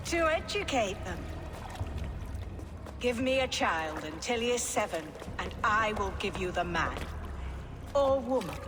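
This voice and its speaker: A woman playing a cultured, zealous villainess in a video game, with a condescending, silky, measured manner. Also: medium pitch, very animated, background noise. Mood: smug, zealous. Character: cultured, scheming, zealous female villain of a secret order. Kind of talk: dramatic video game acting. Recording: long reverb, music behind.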